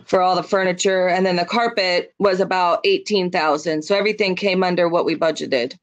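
A woman's voice: lowish voice, fairly even intonation, a little fast, highs cut off. A woman on staff answering a board member's question on a video call, explaining the figures plainly and helpfully.

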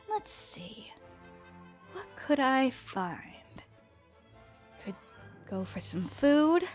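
Woman as a high-voiced, spirited young pirate detective. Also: darkish tone. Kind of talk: fan audio drama acting. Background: music.

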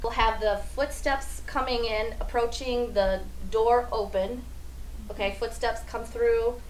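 A middle-aged woman giving an informal hands-on demonstration, explaining haltingly as she handles props. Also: on the high side, steady tone. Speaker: radio drama troupe demonstrator. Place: small radio studio, indoors. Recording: noisy off-mic room sound, prop clatter.

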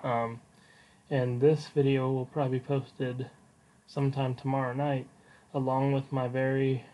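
A man hosting a casual solo podcast, giving an update in an informal, unscripted, halting way. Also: medium pitch, mellow, rough recording. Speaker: podcast host.

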